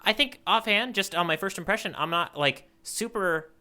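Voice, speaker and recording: A man's voice: high voice, moderate pace, sharp tone. A man co-hosting a casual podcast about manga, chatting in a playful, bantering way. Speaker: podcast co-host.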